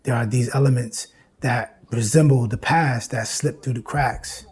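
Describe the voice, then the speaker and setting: Husky, mellow man lecturing. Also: medium pitch, fairly even intonation, measured pace. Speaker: host and lecturer on music history. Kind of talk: informal lecture with recited lyrics.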